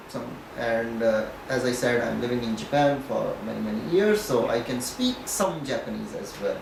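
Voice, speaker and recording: A man's voice: mid-range voice, gravelly. Pakistani-accented man, native Punjabi speaker, echoey distant recording, didactic interview answers. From Pakistan, Punjab region. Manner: didactic, often checking with "okay". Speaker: professor being interviewed. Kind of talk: explanatory interview talk. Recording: echoey, distant mic, faint noise.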